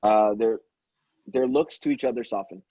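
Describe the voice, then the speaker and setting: Man, matter-of-fact table-read narrator, mellow husky voice, lo-fi recording. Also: lowish voice, moderate pace, no echo. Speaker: stage directions reader at a table read. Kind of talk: reading script directions aloud.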